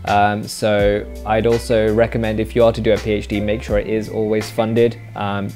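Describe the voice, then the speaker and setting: A man's voice: fairly low pitch, completely flat delivery, normal speed, a little mellow, soft background music. A young man in his twenties from England, answering viewer questions in a rambling, casual way with lots of fillers and dry jokes, seated on a living-room sofa. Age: 20s. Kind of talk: casual Q&A monologue. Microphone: mic off-camera, seated facing camera.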